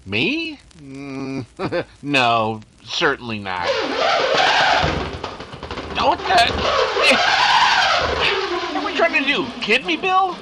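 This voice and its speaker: Male actor as comic radio sidekick: slangy, hesitant bluster, drawn-out pace, swooping intonation. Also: a little high, huge pitch swings, background noise, heavily acted. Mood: startled bluster. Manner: colloquial, hesitant, stammering bluster. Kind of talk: 1930s radio comic-relief acting.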